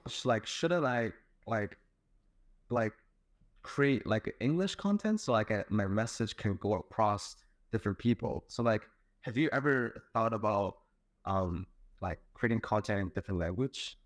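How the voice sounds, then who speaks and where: A man's voice: fairly low pitch, measured pace, dry recording, clean studio sound. A young man from China, chatting as a guest in a hesitant way, full of fillers. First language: Chinese. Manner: hesitant, heavy use of "like" and "um" fillers. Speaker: guest, content creator. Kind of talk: casual online interview chat.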